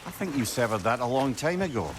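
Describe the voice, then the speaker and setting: An actor playing a wry, eloquent companion and adviser in a video game, speaking. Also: male voice, mid-range voice, animated, fast, noisy background, natural voice. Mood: wry, curious. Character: wry, chatty, sociable male companion and adviser. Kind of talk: video game character acting.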